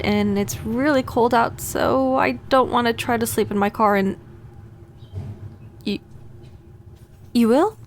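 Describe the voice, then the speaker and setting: A woman playing a gentle, apologetic vampire neighbor in a soft-spoken, hesitant ASMR audio roleplay. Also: fairly high pitch, slight background noise. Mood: nervous, pleading. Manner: hesitant, stammering, earnest.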